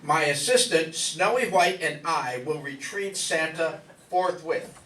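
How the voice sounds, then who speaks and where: Guy doing suave special agent hero, slow n formal, bright high voice, old radio detective spoof, echoey. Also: a little hiss or hum. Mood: gallant. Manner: formal, measured, self-assured. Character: suave, unflappable, heroic male special agent. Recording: echoey room, distant mic.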